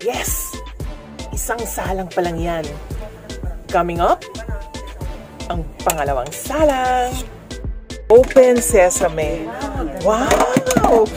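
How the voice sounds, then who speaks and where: This is a middle-aged Filipino woman hosting a food vlog. She takes her time, breaking into bright exclamations and cheery greetings. Music plays clearly behind her voice. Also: fairly low pitch, lively intonation, takes it slowly, long pauses. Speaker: vlogger. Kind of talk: family food vlog narration.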